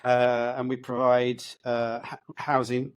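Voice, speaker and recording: A man in his late fifties, raised in the UK, speaks as an interview guest at a medium pitch for a man. He is reflective and upbeat, hesitating and restarting his sentences. The recording is dry and close. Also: completely flat delivery, slow, clean tone, no echo. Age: 50s. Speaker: guest, housing organisation chief executive. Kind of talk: conversational podcast interview.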